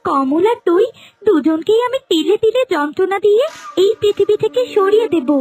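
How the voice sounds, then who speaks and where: A woman's voice: extremely high pitch, lively intonation, moderate pace, a little mellow. A woman voicing a cruel, vengeful serpent maiden who menaces and gloats in a dramatic cartoon style. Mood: gloating menace.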